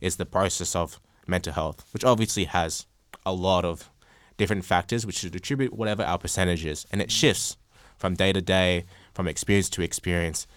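A young man hosting a podcast panel, speaking in a measured way with frequent fillers and everyday analogies. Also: sits low, fairly bright tone, dry recording, clean studio sound. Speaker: podcast host. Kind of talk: casual panel discussion.

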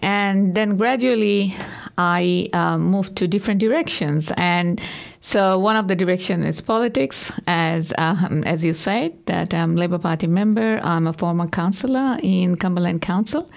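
Earnest woman from Bangladesh, gravelly tone. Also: lowish voice. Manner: earnest, halting with many ums. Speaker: community worker and guest. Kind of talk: interview answers.

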